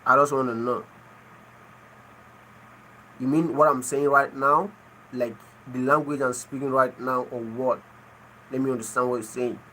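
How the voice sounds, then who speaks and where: A man's voice: medium pitch, steady tone, a little slow, pauses often, bright, forceful tone, slight background noise, low-quality recording. A Nigerian man whose first language is Igbo, chatting live with viewers and repeating his phrases as he asks them to explain. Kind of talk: casual live chat.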